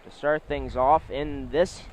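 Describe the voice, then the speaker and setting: A man calling a swim meet live as play-by-play commentator, with measured announcer phrasing. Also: medium pitch. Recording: steady clear background venue noise. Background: swim meet ambience.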